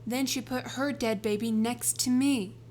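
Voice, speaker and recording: A man voicing a desperate young mother who pleads before a king, in a soft, slow falsetto. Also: fairly even intonation, a little hiss or hum. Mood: pleading.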